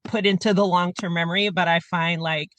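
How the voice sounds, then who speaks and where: A woman from Montreal, Canada, a podcast guest telling her life story in a relaxed, measured way. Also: fairly low pitch, no room sound. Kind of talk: casual personal storytelling.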